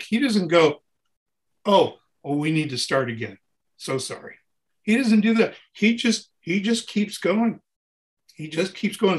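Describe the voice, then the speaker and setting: A man telling casual anecdotes as an interview guest, acting out bits of dialogue and repeating phrases for emphasis in an informal way. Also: sits high. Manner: acts out dialogue and sounds while telling stories. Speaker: interview guest, touring keyboard player.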